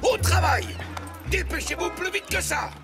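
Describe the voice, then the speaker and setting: An actor voicing a flustered, obedient and bumbling army sergeant in a cartoon, hesitant one moment and blustering the next. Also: male voice, extremely high pitch, normal speed. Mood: frantic. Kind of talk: animated series dubbing. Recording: loud music behind the voice.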